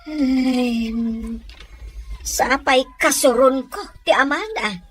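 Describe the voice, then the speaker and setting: A woman playing a gentle, worrying provincial mother in an Ilocano comedy drama. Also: sits high, slow. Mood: tender, concerned.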